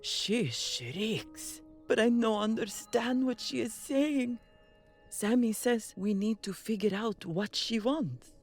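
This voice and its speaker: A jittery, superstitious woman, acted by a woman, in an audio drama, talking slowly in halting, broken English. Faint music plays behind her. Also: fairly high pitch, animated, husky, exaggerated voice. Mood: fretful. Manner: nervous, halting, broken English with Spanish words. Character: frightened superstitious woman, café worker. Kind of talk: audio drama table read.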